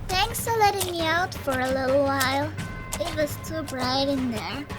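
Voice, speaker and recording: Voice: high-pitched, expressive, moderate pace. A performer voicing a playful, plaintive little childlike monster in a fan audio drama. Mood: shy, grateful. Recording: music behind the voice.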